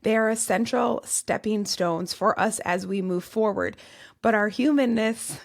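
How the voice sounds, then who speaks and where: A woman with a Midwestern accent hosting a solo podcast, her voice raspy and cracking from overuse, talking through topics in an earnest, list-like way. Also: medium pitch, measured pace. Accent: Midwestern American. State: strained, cracking voice. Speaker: podcast host and tarot reader. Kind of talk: solo podcast monologue on astrology.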